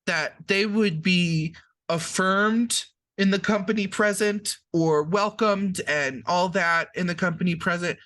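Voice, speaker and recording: A man's voice: sits high, measured pace, fairly bright tone, no echo. A man co-hosting a podcast, explaining terms in casual chat with frequent ums and restarts.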